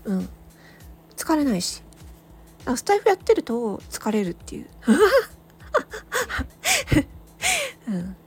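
A woman hosting a solo audio show, chatting casually and reflectively to listeners, thinking aloud with hesitant pauses. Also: sits high, wildly swooping pitch, faint music behind. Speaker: solo audio channel host, amateur voice actor.

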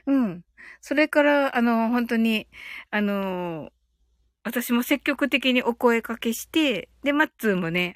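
A woman hosting a casual livestream, talking to listeners in short, halting phrases with many fillers and tags, in a chatty, giggly way. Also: a little high, fairly bright tone, no echo, studio quality. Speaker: livestream host. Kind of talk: casual livestream chat, greeting listeners and reading comments.

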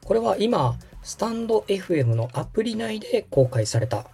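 Husky, lively man giving patient instructions. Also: sits high, moderate pace, faint music behind. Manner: patient, polite explaining. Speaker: host and radio director guiding a setup. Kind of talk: conversational step-by-step tutorial.

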